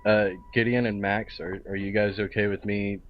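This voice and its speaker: A man playing a role-play game over online voice chat, casually and deliberately talking through each move. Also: fairly low pitch, flat delivery. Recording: dull, lo-fi voice chat audio.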